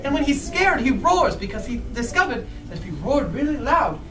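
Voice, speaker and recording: Woman playing an animated, high-voiced Scarecrow storyteller. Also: moderate pace. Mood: excited, playful. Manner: animated, asks the listeners questions. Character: female-voiced Scarecrow, friendly eager storyteller. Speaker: costumed storyteller for children. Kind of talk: interactive live children's storytelling. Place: indoors in a bookstore. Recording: roomy live event, distant microphone.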